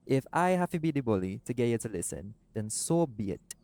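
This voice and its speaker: A man voicing a doting, laid-back seagull shifter boyfriend in an intimate audio roleplay, speaking softly and affectionately. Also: medium pitch, very animated, a little fast, no room sound. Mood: firm. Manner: soft-spoken, affectionate, creole-inflected speech.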